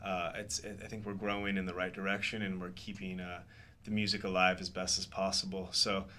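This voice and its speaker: A man's voice: low-pitched, little pitch movement, brisk, sharp tone, slight background noise. A man from southern Alberta, a musician, chatting enthusiastically in a casual radio interview. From southern Alberta, Canada. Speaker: musician, band co-founder. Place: small radio studio, indoors.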